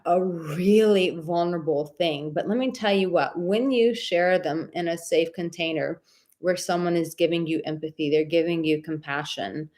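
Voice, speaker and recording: A woman hosting a live dating advice stream, reading viewer comments in a warm, affectionate and chatty way, with a soothing voice and many filler words. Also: fairly low pitch, moderate pace. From Czech heritage.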